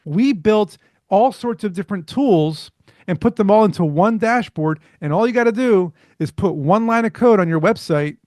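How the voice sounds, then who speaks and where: A man, a startup founder, talking as a podcast guest in an energetic, fluent way with rhetorical questions. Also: sits high, normal speed, no room sound, studio quality. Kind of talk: casual interview chat.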